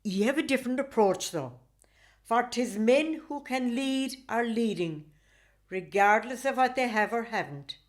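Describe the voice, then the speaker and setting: An actress with a rural Irish accent playing a kindly, devout, talkative farmhouse hostess in a radio play. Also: female voice, a little high, lively intonation, measured pace. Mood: earnest. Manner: homely, colloquial, effusive.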